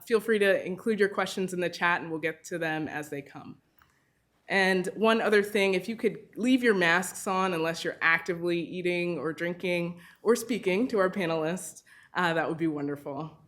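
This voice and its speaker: Lower-voiced woman opening an event. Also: normal speed, a bit bright. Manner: formal, announcing, with some uhs. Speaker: event host. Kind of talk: prepared opening remarks.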